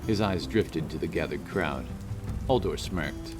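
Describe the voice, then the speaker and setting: Male fantasy audiobook narrator, very low voice, storytelling delivery over prominent music. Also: a little slow. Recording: loud music under the voice.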